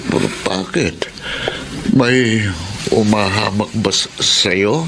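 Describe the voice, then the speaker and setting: An actor playing a caring, poor older father who speaks gently and slowly. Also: on the low side, fairly even intonation. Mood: concerned. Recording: noticeable background noise.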